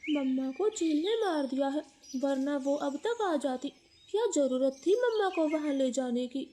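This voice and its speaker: A selfish young brother bird played by a woman in a cartoon moral tale. High and swooping in pitch, he sounds callous and scolding, with faint noise behind. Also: lively intonation, unhurried, warm tone. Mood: resentful. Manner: accusing, scolding.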